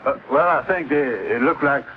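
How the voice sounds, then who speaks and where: A man playing a slow-witted, lovestruck middle-aged suitor with a hesitant, folksy drawl in a 1950s sitcom. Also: medium pitch, lightly acted. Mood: flustered. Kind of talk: 1950s American TV sitcom acting. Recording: hissy, phone-like vintage TV soundtrack.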